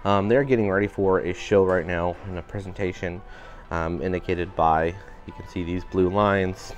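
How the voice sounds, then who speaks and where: A man giving an upbeat walking tour of a cruise ship, his voice a little raspy today. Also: low-pitched, fairly even intonation, normal speed, faint music behind. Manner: upbeat, enthusiastic guiding. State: slightly raspy voice. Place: indoor public areas of a cruise ship.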